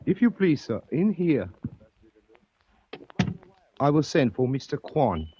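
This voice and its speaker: A courteous young Chinese clerk in an old radio drama. His voice is on the high side and swings widely as he serves with formal deference. The recording is narrow and faintly hissy. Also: big pitch swings, slow pace. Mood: deferential, guarded. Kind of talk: 1940s radio acting.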